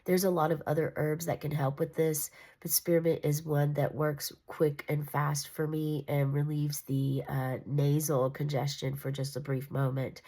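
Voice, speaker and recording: A woman's voice: sits low, flat delivery, a little slow, few pauses, dark tone. A congested, nasal woman giving a casual, meandering tarot reading. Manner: meandering, reassuring, with fillers and restarts. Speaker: tarot reader.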